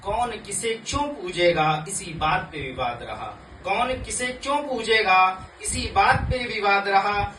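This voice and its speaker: A man reciting motivational poetry dramatically, in a declamatory way with emphatic pauses. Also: sits high, lively intonation, pushed and bright. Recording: echoey, distant mic, faint background noise.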